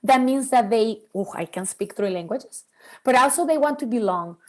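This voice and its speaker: Earnest Venezuelan-born woman, fairly high voice. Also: normal speed. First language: Spanish. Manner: earnest, animated, acts out her children's voices. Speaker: podcast guest, bilingual parenting expert. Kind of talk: interview conversation.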